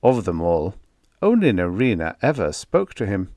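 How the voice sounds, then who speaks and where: A man reading a vintage science fiction story aloud as an audiobook narrator, in a measured storytelling delivery. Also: sits low, very animated, darkish tone, dry recording, clean studio sound.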